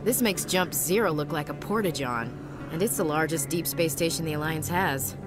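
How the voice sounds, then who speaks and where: A woman's voice: low voice, normal speed, music in the background, natural voice. An actress playing a skeptical female soldier in a video game, dry and sardonic. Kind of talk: video game cutscene acting.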